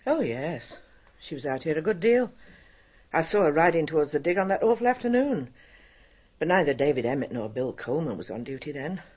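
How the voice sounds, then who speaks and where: A woman playing a brisk, reserved, frank spinster assistant in a radio drama, with an English accent and a husky, matter-of-fact delivery. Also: deep voice, big pitch swings, a little mellow, heavily acted.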